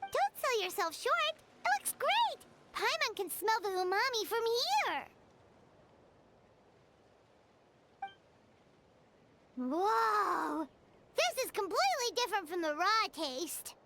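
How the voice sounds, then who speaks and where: A woman's voice: very high-pitched, very animated, unhurried, slight background noise, full character voice. A woman voicing a tiny, bubbly female game companion who loves food and talks about herself in the third person. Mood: excited. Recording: game audio with loud background music.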